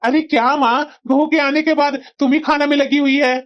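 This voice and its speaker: A woman's voice: high-pitched, steady tone, normal speed, dull audio, lightly acted. A woman voicing a mild, obedient, newly married young son who talks politely in a family cartoon. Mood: easygoing. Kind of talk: Hindi family cartoon voice acting.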